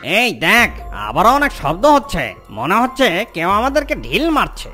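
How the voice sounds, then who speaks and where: A squawky demon guard from a fairy-tale cartoon. The voice is extremely high and sharp, bickering with wildly animated swings. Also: male voice, unhurried, faint music behind, full character voice. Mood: suspicious.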